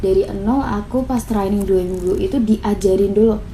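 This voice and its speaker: A woman's voice: mid-range voice, moderate pace, mellow, slight background noise, poor audio. A woman, chatting informally and emphatically as an interview guest. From Semarang, Java, Indonesia. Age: 20s. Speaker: interview guest, student radio announcer. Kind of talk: casual interview chat.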